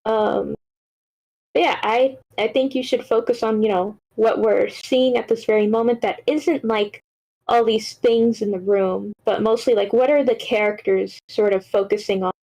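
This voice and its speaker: High-voiced woman giving casual feedback. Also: fairly even intonation, normal speed, mellow, poor audio. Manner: informal, rambling, frequent "like" and swearing. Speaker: participant giving script feedback. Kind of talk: casual spoken critique.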